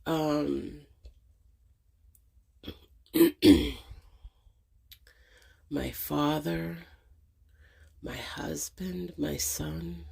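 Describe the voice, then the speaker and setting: A middle-aged woman muses aloud in a casual monologue, stuffed up with a cold. Her voice sits low and gravelly, with a husky edge. She speaks very slowly, pausing often and filling gaps with ums. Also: darkish tone. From Canada. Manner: slow, hesitant, many ums and pauses. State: congested with a cold. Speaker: channel host.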